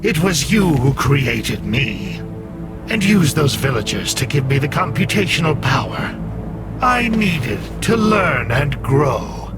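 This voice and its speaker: An actor voicing a coldly calculating artificial intelligence in a video game, measured, solemn and condescending. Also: male voice, on the high side, very animated. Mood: triumphant. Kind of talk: dramatic video game cutscene acting. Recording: loud music under the voice.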